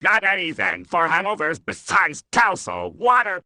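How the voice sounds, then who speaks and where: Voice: mid-range voice, huge pitch swings, moderate pace, bright, forceful tone, no echo, clean studio sound, exaggerated voice. A performer voicing a grumpy, stubborn cartoon character, blunt and contrary, in short comedy ad skits. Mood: demanding.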